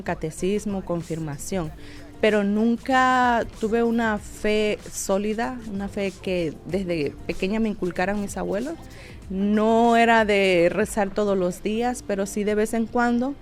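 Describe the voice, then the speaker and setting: Hesitant woman, faint music behind. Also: mid-range voice. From Oaxaca coast, southern Mexico. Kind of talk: casual, personal interview chat.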